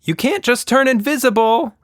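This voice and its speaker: A man's voice: very high-pitched, moderate pace, no echo, studio quality, pitched above the performer's own voice. A man voicing an imaginative, headstrong little girl in a children's story, speaking earnestly and expressively. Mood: bossy.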